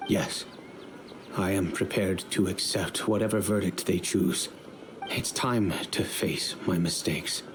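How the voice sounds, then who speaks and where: An actor playing a middle-aged, remorseful wealthy merchant and father in a video game, speaking in a measured, earnest, formal way. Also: deep voice, background noise. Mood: resigned, helpful. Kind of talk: dramatic video game dialogue.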